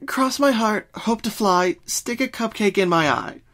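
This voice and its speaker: A gentle, studious female unicorn from a cartoon fan story, played by a man. The voice is lifted above a natural talking pitch and sounds earnest, caring and reassuring. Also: animated, a little slow. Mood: gently amused.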